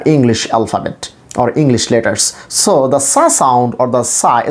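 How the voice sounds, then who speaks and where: Male pronunciation tutor, slow and deliberate, with lively swings in pitch, explaining step by step and repeating himself. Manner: deliberate, repetitive explaining, sounding out phonemes. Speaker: English pronunciation teacher. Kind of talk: instructional pronunciation lesson to camera or screen.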